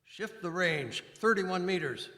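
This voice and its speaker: A man playing a forceful high-pitched artillery officer. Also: lively intonation, measured pace, heavily acted. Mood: urgent, commanding. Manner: clipped, barked military commands. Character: commanding army artillery officer barking firing orders. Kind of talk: live radio drama performance. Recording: echoey church hall, live performance.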